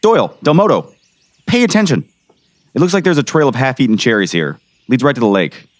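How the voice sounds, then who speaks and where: A man playing a folksy, earnest small-town sheriff in a comic audio drama, animated and urgent. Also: mid-range voice, a little fast, no echo, lightly acted. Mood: focused.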